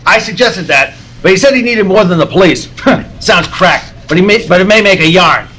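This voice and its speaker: A man playing a gruff, anxious, bossy newspaper editor in a 1940s radio serial, barking his lines at a live table read. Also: sits high, animated, normal speed, pushed and bright, a little hiss or hum.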